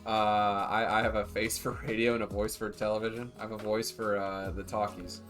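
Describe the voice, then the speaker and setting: A man's voice: on the low side, little pitch movement, normal speed, a bit bright, soft background music. Laid-back rambling male game streamer. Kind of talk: casual livestream chat.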